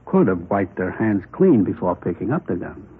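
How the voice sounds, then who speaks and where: An actor playing a matter-of-fact crime lab technician in a 1950s radio police drama, speaking methodically and clinically. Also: male voice, deep voice, very animated, moderate pace. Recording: noisy, tinny vintage radio recording.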